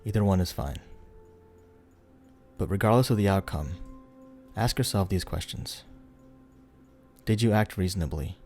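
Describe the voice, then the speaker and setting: A man with a deep, relaxed voice narrates a guided meditation. He gives soothing, unhurried instructions and leaves space between phrases. Soft music plays behind him. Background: background music.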